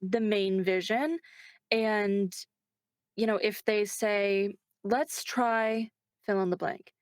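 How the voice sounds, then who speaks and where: A woman actor being interviewed, chatting casually in a thoughtful, measured way and often checking in with the listener. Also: mid-range voice, fairly even intonation, no echo, studio quality.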